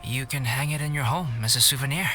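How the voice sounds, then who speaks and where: A man voicing a calm, cultured young artist in a video game, speaking in a measured, formal, politely aloof way. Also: mid-range voice, soft background music, close to an everyday voice. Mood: gentle, reflective. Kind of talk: scripted game voice acting.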